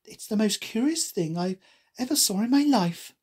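Storybook young girl acted by a woman, high, prim, earnest, curious, easily indignant, very lively intonation. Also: moderate pace, husky. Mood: musing, puzzled.

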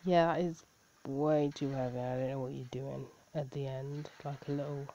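A woman's voice: a bass voice, steady tone, normal speed, mellow. Blunt woman reacting with disbelief. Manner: blunt, incredulous, swears and laughs often. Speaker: video reaction host. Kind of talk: casual reaction commentary. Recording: dull lo-fi sound with faint noise.

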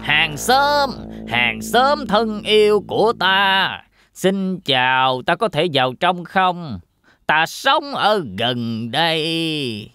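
A man's voice: on the high side, sing-song, slow pace, bright, forceful tone, clean tone, faint music behind, full character voice. A man voicing a sly, menacing big bad wolf in a children's fairy tale cartoon, with a threatening drawl. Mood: falsely friendly.

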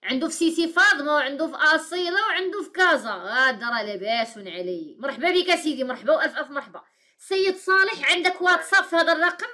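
A woman in her thirties with a Moroccan Arabic accent, hosting a live call-in show from a small room, briskly repeating her caller's answers back. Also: high voice, animated, pushed and bright. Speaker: host taking calls.

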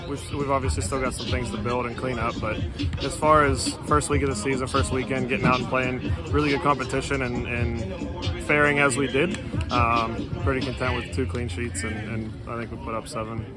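A man in his thirties, a soccer coach giving casual, measured post-match answers outdoors, repeating words as he gathers his thoughts. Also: mid-range voice, steady tone, over loud music. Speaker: high school soccer coach. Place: outdoor stadium trackside.

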